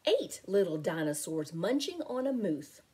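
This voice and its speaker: A middle-aged woman reading a picture book aloud to children as a teacher, in an expressive, deliberate, sing-song way. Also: on the low side, a little hiss or hum. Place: small home room with window blinds.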